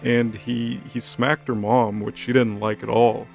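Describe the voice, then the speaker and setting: A man casually retelling a story in a rambling, mock-serious deadpan, improvising dialogue for comic effect. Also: medium pitch, a little slow, creaky, faint music behind. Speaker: co-host retelling a story recap.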